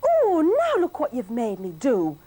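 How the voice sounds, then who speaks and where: Shrill fisherwife, high and fretting, voiced by a woman reading a storybook. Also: huge pitch swings, a little slow, exaggerated voice. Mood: exasperated. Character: flustered fisherwoman wife, middle-aged, exasperated.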